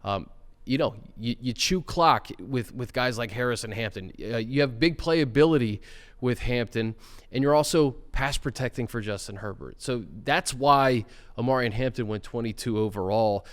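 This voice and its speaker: A man's voice: mid-range voice, normal speed. A man hosting a sports podcast, chatting loosely about a player and putting questions to his co-host. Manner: conversational, asks questions, fillers like uh and um. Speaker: show host. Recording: reverberant room sound.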